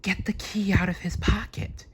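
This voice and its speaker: Man voicing a high, urgent magic harp lady. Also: level tone, moderate pace, breathy edge. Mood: urgent whisper. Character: frightened, urgent female magic golden harp.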